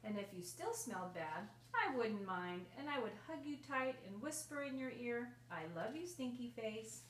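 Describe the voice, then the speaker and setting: A woman's voice: a little high, animated, moderate pace, natural voice. A woman voicing a loving, patient storybook mother with a tender, singsong, reassuring manner. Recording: roomy, distant mic, faint noise.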